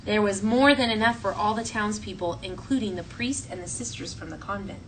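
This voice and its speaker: A woman teacher reading a story aloud to children in an expressive, clearly phrased way and talking to the class. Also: medium pitch, fairly quick. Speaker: elementary school teacher. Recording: distant mic, faint classroom noise.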